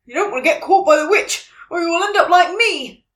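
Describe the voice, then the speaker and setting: Casting: woman as an urgent, worried male frog for a storybook, high and bright. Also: a little fast, echoey room, distant mic. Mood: urgent warning. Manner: urgent, loud warning. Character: anxious male frog warning of danger.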